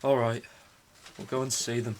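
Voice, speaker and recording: A man's voice: medium pitch, fairly even intonation, moderate pace, very noisy, close to an everyday voice. Exasperated young man acting. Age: young adult. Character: exasperated, argumentative partner reluctant to socialise. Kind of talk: audio drama acting.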